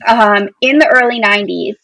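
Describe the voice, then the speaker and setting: An American woman in her thirties speaks in a somewhat high voice with very little room sound. She is a podcast guest, telling personal stories casually and with enthusiasm. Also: moderate pace, vocal fry, sharp tone, no room sound, rough recording. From Boston area, United States. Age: 30s. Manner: enthusiastic, informal, peppered with "like".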